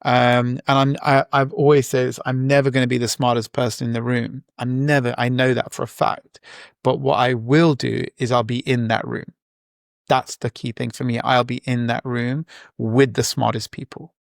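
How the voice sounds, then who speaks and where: Gravelly-voiced man musing in an interview. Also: medium pitch, flat delivery, normal speed, no echo, clean studio sound. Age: 40s. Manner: reflective, rambling with many fillers and restarts. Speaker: guest entrepreneur. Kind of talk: casual interview talk.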